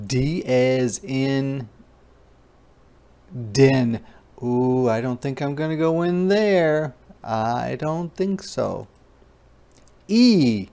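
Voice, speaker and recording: A teacher reading aloud to young children in an interactive phonics lesson, deliberately repeating sounds and words and adding simple explanations. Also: mid-range voice, warm tone. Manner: child-directed explanatory asides.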